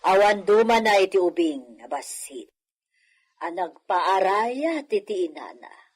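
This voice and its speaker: A woman acting a husky, chiding Ilocano mother. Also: medium pitch, expressive, a little slow. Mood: wry, reproachful. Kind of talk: Ilocano comedy radio drama acting.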